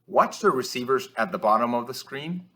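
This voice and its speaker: Man giving measured instructional commentary. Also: mid-range voice, animated, a bit bright. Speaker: football officiating instructor. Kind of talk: instructional film-review commentary.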